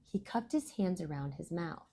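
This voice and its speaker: A woman hosting a children's storybook read-aloud, speaking in deliberate phrases and explaining things to young listeners. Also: fairly low pitch, animated, dark tone, slight background noise.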